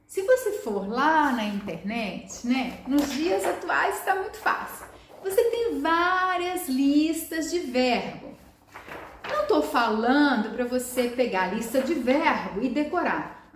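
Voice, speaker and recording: A woman teaching Portuguese in a relaxed video lesson, drawing out each example word slowly and carefully. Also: sits high, echoey room. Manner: slow, careful, stretches out example words.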